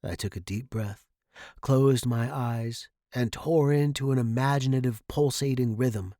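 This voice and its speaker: A man's voice: mid-range voice, unhurried, mellow, no room sound, clean studio sound. A man reading a first-person short story dramatically, as its narrator.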